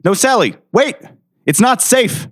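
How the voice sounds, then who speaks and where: Weary small-town sheriff acted by a man, swooping pitch. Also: expressive, unhurried, a bit bright, heavily acted. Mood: exasperated. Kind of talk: radio drama acting.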